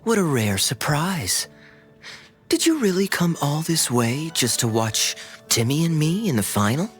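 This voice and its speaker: A sly, relaxed young knight in a game cutscene. His mid-pitched voice swings freely as he teases, smooth and coy, over faint music. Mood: teasing, relaxed. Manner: smooth, unhurried, playfully coy. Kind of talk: video game cutscene acting.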